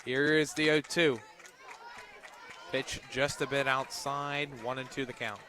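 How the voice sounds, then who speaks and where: A man doing live play-by-play commentary of a softball game for radio, outdoors at a ballfield. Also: mid-range voice, fairly even intonation, a little slow, a faint crowd, a little hiss or hum.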